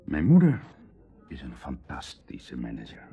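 An actor playing a proud, hard-up aristocratic bachelor in a radio drama, confiding and passionate, with emotional, dramatic delivery shifting from hushed to outbursts. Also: male voice, rumbling low, huge pitch swings, unhurried, husky, dull audio, soft background music, full character voice. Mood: earnest, confessing. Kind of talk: Dutch radio drama acting.

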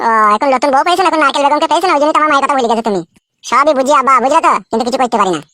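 A woman's voice: high-pitched, racing, sharp tone, no room sound, studio quality. A woman voicing a plaintive, self-pitying young man in a comedy cartoon. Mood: aggrieved. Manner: plaintive, complaining. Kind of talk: Bengali comedy cartoon dubbing.

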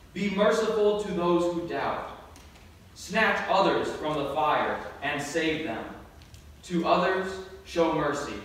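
A young man, a vicar, reading scripture formally and phrase by phrase in a church. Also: fairly high pitch. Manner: measured phrase-by-phrase delivery. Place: church sanctuary at a lectern. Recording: echoey church from far off, faint noise.

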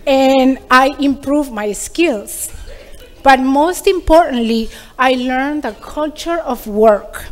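A middle-aged woman from Peru speaks, her voice sitting slightly high. She delivers a prepared speech in an earnest, measured way, now and then restarting a word. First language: Spanish. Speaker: program graduate giving a speech.

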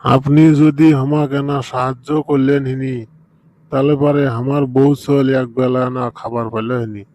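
A humbled, penniless rickshaw puller from a cartoon, acted by a man, begs in a soft, dark voice of middling pitch. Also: level tone, normal speed, close to an everyday voice. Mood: pleading. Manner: pleading, abject. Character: ruined older brother, humbled begging rickshaw puller. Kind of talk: amateur cartoon dialogue.

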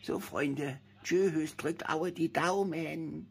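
A woman's voice: low-pitched, extremely slow, breathy edge, heavily acted. A woman voicing a sweet, sniffly cheese worm puppet, coughing in a homemade puppet play. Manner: singsong, swooping, cosy. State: has a cold, coughing.

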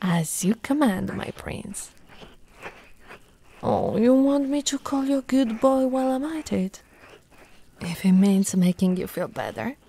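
A woman playing an affectionate, teasing lamia girlfriend in an ASMR roleplay, soft and cooing, often slipping into whispers. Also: medium pitch, a little hiss or hum. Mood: soothing, tender.

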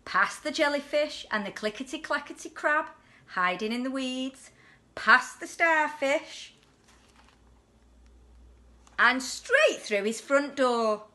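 A woman in her forties reads a picture book to children in an animated, deliberate way, leaning on the rhyming words. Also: sits high. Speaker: deputy headteacher reading a children's story. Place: indoors at home on a sofa.

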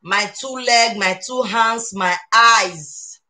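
Casting: female cooking host, Nigerian accent, playful and repetitive, sharp bright tone, slow casual delivery. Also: on the high side. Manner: playful, exuberant, repeats phrases. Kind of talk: casual live-stream cooking chat in English and Pidgin. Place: home kitchen, indoors. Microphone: webcam or phone camera at arm's length to a few steps away.

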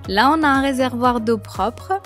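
A woman's voice: fairly high pitch, a little slow, loud music behind the voice. A young woman narrating a cleaning video voice-over, sharing tips with enthusiasm and frequent hesitations. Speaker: home cleaning vlogger.

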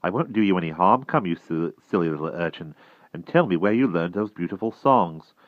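A man's voice: low voice, lively intonation, a little fast, no echo. A man voicing an earnest young boy who coaxes and grows impatient. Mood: coaxing. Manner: coaxing, then exasperated. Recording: tinny phone-like dry sound.

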